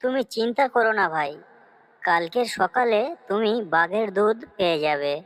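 A woman voicing a cunning fox in a cartoon story, coaxing and flattering as it schemes. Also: medium pitch, moderate pace, close to an everyday voice. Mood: reassuring. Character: cunning fox, sweet-talking helpful schemer.

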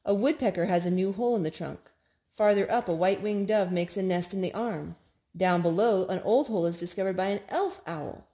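A woman reading children's picture books aloud in an expressive, measured storytime style. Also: mid-range voice. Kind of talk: read-aloud storytime narration. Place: indoors at home.

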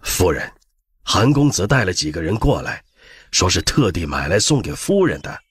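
A man's voice: low voice, very animated, moderate pace, slightly rough, no room sound, studio quality, exaggerated voice. A man playing a respectful household steward, formal and deferential, in a historical audiobook drama.

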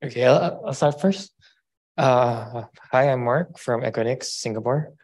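A man's voice: medium pitch, measured pace, a little mellow. A man giving a short self-introduction as a participant at a roundtable.

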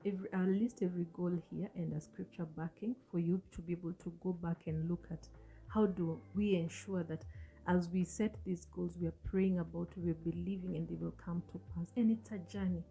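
A woman's voice: on the low side, steady tone, a little fast, gravelly, warm tone. A woman in her forties, hosting a motivational talk in an earnest, coaching manner and asking the listener questions. She speaks into a studio mic in a small room, and English is not her first language. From Africa. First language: an African language. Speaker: host. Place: small indoor room, seated on a sofa. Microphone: studio mic on a stand in front of her.

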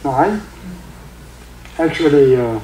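An older man with a heavy Egyptian accent, a native Arabic speaker, giving an informal religious talk in halting English with many fillers and recited Arabic verses. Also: medium pitch, expressive, warm tone, roomy sound, slight background noise, low-quality recording. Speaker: religious teacher. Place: indoor room with carpeted seating.

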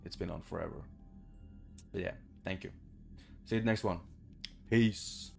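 A man's voice: low voice, moderate pace, creaky, soft background music. A young man giving casual, sweary gameplay commentary in an informal way. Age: young adult. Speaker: gaming video creator. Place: small bedroom, indoors.

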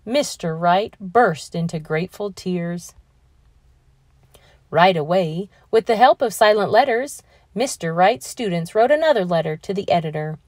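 Female picture book narrator, very varied pitch, deliberate emphatic storytelling, slow pace. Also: lively intonation, darkish tone, dry recording, clean studio sound. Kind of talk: expressive children's read-aloud narration.

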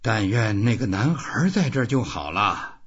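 A man voicing a weary, determined old fisherman who thinks aloud in slow, brooding storybook self-talk. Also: on the low side, big pitch swings, husky, highs cut off. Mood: determined, troubled.

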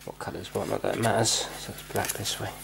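A man's voice: on the low side, fairly even intonation, fast, creaky. A man giving casual hands-on repair commentary, thinking aloud and often checking himself with questions. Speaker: amateur repair video host. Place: indoors on a wooden floor. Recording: clicky, noisy room recording.

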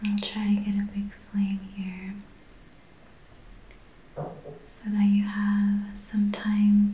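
A woman softly guiding an ASMR healing session in a breathy, whispery voice, gentle and soothing. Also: medium pitch, reverberant, poor audio. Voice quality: breathy, whispery, soft-spoken. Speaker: ASMR Reiki practitioner and host. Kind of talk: soft-spoken ASMR guided healing session.

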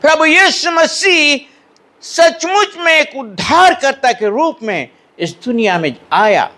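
An older man from Fiji with a Fiji Hindi accent, preaching at a pulpit into a handheld mic in a small church hall. He keeps asking his hearers for agreement and reads English lines out slowly. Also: very high-pitched, lively intonation, pushed and bright. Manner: lifts an arm to gesture while preaching. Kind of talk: sermon.

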